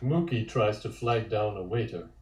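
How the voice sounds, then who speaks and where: A man's voice: fairly low pitch, normal speed. A man reading scripted scene descriptions as the narrator of a table read. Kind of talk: scripted stage-direction narration. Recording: roomy, echoey room.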